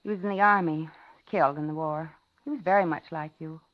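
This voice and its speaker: An actress playing a polite, lonely older widow in a 1950s radio thriller, talking and narrating in a genteel, dramatic way. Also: female voice, deep voice, fast, close to an everyday voice. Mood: wistful, concerned. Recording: dull 1950s radio recording, music behind.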